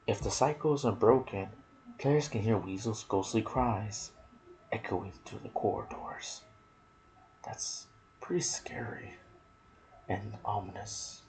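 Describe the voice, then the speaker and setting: Hushed, low, creaky male ASMR narrator. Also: slight background noise, rough recording. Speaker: gaming video narrator. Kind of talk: ASMR game lore narration with casual asides.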